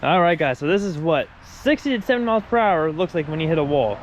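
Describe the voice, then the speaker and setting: A man's voice: on the high side, animated, fast talker. Congested guy talking through a car teardown, chill and rambly, faint shop noise behind. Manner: rambling, informal, self-correcting as he works. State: congested after being sick. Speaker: vlogger working on his race car. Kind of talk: casual how-to narration.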